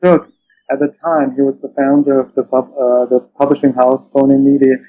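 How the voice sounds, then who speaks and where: A man's voice: mid-range voice, little pitch movement, moderate pace. Muffled, noisy recording of a hesitant man. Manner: hesitant, repeats words, searching phrasing. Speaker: interview guest, literary translator. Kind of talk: conversational interview answers. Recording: dull lo-fi sound, loud-ish background noise.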